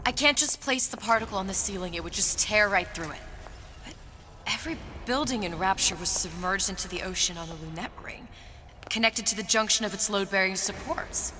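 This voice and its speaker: Actress as a bright-voiced, determined clever young woman. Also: mid-range voice, a little fast, close to an everyday voice. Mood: urgent, reasoning. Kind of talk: video game dramatic acting. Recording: long reverb with faint noise.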